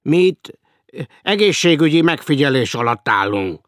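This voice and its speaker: A man's voice: a little high, sing-song, a bit bright, dry recording, studio quality, pitched above the performer's own voice. Man voicing a cheeky old newspaper seller.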